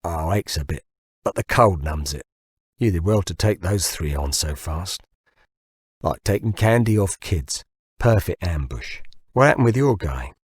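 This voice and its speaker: A man's voice: low voice, animated, measured pace, breathy edge, dry recording, studio quality, full character voice. A man voicing a wounded, shivering diver in an audiobook, stoical and wry, with a weakened, understated delivery. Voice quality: faint, weakened.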